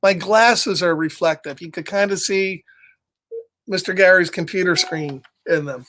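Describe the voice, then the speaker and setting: A man's voice: high-pitched, measured pace, bright, forceful tone. A middle-aged man telling a children's story, with casual chatty asides and a playful, encouraging, simple way of talking.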